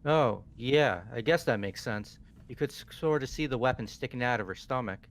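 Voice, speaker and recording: A man's voice: medium pitch, normal speed, close to an everyday voice. A man voicing a blunt, hot-headed teenage student with a casual way of talking in a video game. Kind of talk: amateur video game voice acting.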